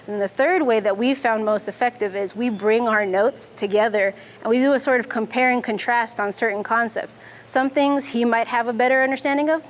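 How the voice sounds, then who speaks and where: A young adult woman from Fiji, a Navy instructor, answering interview questions in a clear, methodical way. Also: fairly high pitch, a little hiss or hum. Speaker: Navy nuclear instructor and student.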